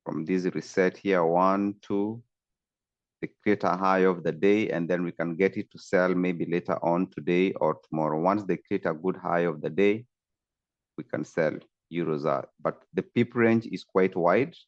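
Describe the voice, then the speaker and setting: A man's voice: low-pitched, fairly even intonation, moderate pace, lo-fi sound. A man from Kenya, a trading coach, steadily explaining charts in a screen-share tutorial over a video call.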